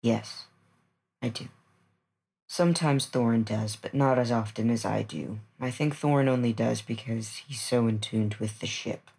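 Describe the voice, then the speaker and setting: A performer acts out a calm clawed sea creature in a tabletop game, speaking slowly and formally. The voice is husky and gravelly, with measured, polite phrasing. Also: medium pitch.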